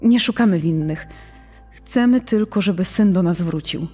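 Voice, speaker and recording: A woman's voice: on the low side, moderate pace, mellow, breathy edge. A woman playing the forgiving, anguished mother of a missing boy in a true-crime podcast. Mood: pained, forgiving. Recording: long hall reverb, music underneath.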